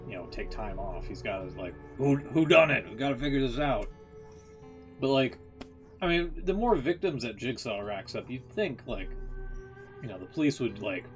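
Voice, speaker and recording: A man giving casual, rambling, slangy reaction commentary as a streamer watching game story cutscenes. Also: on the low side.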